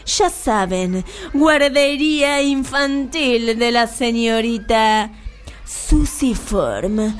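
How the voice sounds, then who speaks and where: A woman playing a gleefully wicked, bossy kindergarten teacher in comic radio theatre, mock-sweet and imperious. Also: a little high.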